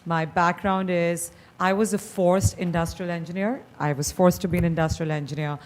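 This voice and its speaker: A woman's voice: on the low side, fairly even intonation, moderate pace. A woman from India with an Indian accent, a company founder giving a persuasive presentation at a school board meeting. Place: meeting hall.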